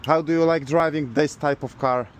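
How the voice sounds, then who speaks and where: A man from Poland with a Polish accent, talking casually in halting, simple non-native English as a vlogger. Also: on the high side, steady tone. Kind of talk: casual vlog talk.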